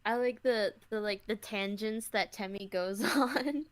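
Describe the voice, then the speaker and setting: A woman's voice: medium pitch, unhurried. A giggly woman chatting casually as a podcast co-host. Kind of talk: casual chat.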